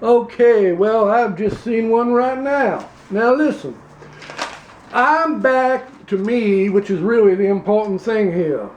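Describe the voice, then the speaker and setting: A man's voice: high voice, slow, muffled recording, slight background noise. A man playing a pompous Louisiana politician. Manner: pompous, folksy, rambling. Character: blustering male Louisiana politician running for governor. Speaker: comedy performer. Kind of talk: improvised comedy sketch. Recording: roomy echo.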